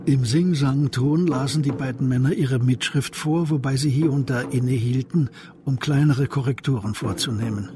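A man's voice: mid-range voice, moderate pace. A man reading scripted narration for a German radio crime drama. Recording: music behind the voice.

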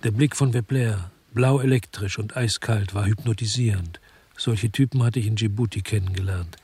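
A man's voice: lowish voice, moderate pace, darkish tone, breathy edge. An actor playing a world-weary crime investigator in a radio drama, brooding and laconic as he narrates and talks. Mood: grim.

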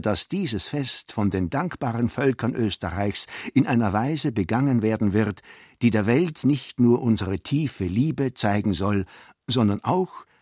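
An actor playing a pompous Austrian count who delivers a ceremonious, deliberate patriotic address in a radio play, with a husky voice. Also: male voice, on the low side, a little mellow, no room sound, clean studio sound.

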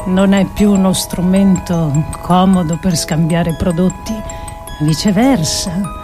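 Slow, solemn woman thinker, higher-pitched. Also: darkish tone. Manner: deliberate, declamatory, with weighty pauses between phrases. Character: earnest, grave woman thinker delivering a political monologue. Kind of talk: theatrical radio monologue of philosophical prose.

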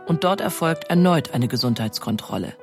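A woman's voice: low voice, animated, moderate pace, over music. A woman reads scripted documentary narration as a narrator, in pronunciation.

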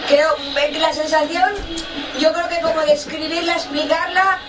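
A woman's voice: very high voice, steady tone, unhurried. A woman casually answering interview questions. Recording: echoey, distant, loud music.

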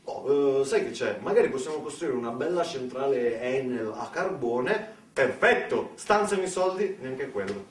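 A man's voice: medium pitch, expressive, normal speed, hardly any pauses, slight background noise. An Italian man performing a satirical theatre monologue in an ironic, colloquial way, full of rhetorical questions and asides. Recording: echoey room, distant microphone.

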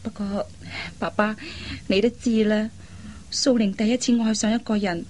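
An actress playing a loyal young adult daughter in a Cantonese radio drama, speaking earnestly and pleadingly in carefully articulated speech. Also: female voice, mid-range voice, steady tone, moderate pace, darkish tone, natural voice. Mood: earnest, consoling.

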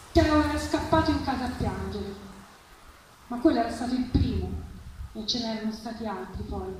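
A woman giving an expressive, theatrical reading of literary prose at a live event. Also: sits high. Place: live public reading at a festival, distant mic. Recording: echoey, distant, noisy live event recording.

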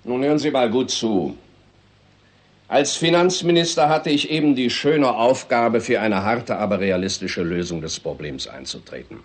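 An actor playing a blunt, ruthless finance minister in a 1960s radio drama, speaking in sarcastic, emphatic oratory. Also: male voice, medium pitch, moderate pace, lightly acted. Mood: indignant lecturing.

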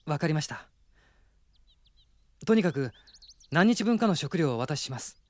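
A man's voice: on the high side, big pitch swings, normal speed. A man playing a courteous, earnest young prince in a Japanese radio drama, speaking politely and with composure. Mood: decisive.

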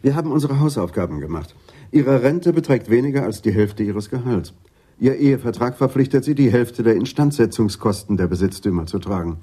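Senior male detective, radio drama: calm, dry, measured, with a warm, slightly rough-edged low voice. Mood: matter-of-fact. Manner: measured, wry.

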